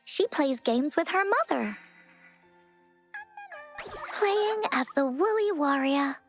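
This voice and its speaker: A woman voicing a cheerful, imaginative young girl who tells adventure stories in an expressive, childlike way for a video game. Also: high-pitched, slow, soft background music, full character voice. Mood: earnest storytelling. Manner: childlike, expressive, storybook-style narration. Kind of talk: video game voice acting, storytelling.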